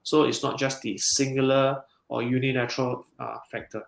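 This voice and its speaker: A man, a counselling psychologist, explaining in a measured way in a podcast interview, with frequent restarts. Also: mid-range voice, little pitch movement, vocal fry. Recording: roomy echo.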